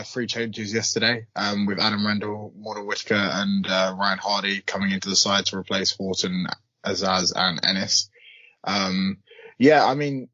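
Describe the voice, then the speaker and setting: A man's voice: fairly low pitch, fairly bright tone, dry recording. A man giving casual football analysis on a podcast, hesitant with many ums, in a glum mood, over a call. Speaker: podcast co-host. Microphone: remote call microphone. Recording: muffled dry call audio.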